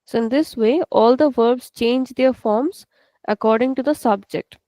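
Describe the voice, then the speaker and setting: A woman's voice: fairly high pitch, unhurried, mellow, no room sound. A woman with an Indian English accent teaching an online language class, explaining patiently and repeating each point step by step.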